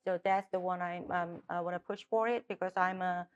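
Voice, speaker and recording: A woman's voice: fairly low pitch, fairly even intonation, fairly quick, highs cut off. A middle-aged woman with a Korean accent, a native Korean speaker, answering interview questions as a political candidate with frequent restarts and fillers.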